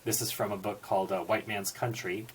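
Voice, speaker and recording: A man giving a book review in a measured, reflective way, pausing and stressing key words. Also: on the low side, fairly even intonation, husky. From born in Singapore, United States citizen. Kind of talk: book review talk with read-out quotations.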